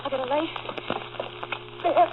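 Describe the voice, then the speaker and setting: High-pitched, terrified young woman. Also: a little slow. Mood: hushed dread. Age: young adult. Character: terrified young woman suspected of murder, fragile, near breakdown. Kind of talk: 1940s radio acting. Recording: muffled, crackly, very noisy 1940s radio.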